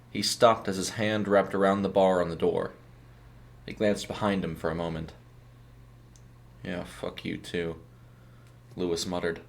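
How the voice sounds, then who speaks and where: A man reading a scripted short story as a dramatic narrator. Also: low-pitched.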